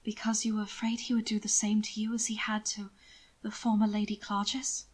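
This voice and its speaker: Casting: woman reading a gentle, deferential young lord, anxious and pained, softly hesitant, for storybook narration. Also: mid-range voice, little pitch movement, moderate pace, breathy edge, natural voice. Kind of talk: audiobook character acting.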